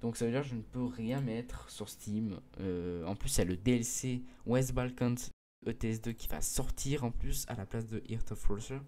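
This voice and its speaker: Hesitant teenage boy with a mellow voice. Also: medium pitch, moderate pace. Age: mid-teens. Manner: hesitant, many fillers and restarts; earnest, pleading with viewers. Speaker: gaming video creator. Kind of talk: casual explanation to viewers.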